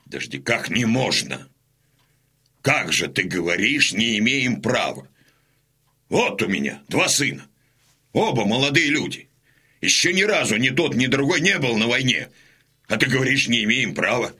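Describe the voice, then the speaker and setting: A husky old Cossack warrior, hot-headed and stubborn. His delivery is blunt, forceful and widely swinging in pitch, pressing each point in radio drama style. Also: very animated, moderate pace, pauses often, exaggerated voice. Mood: indignant. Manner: blunt, insistent, emphatic. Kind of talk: audio drama acting.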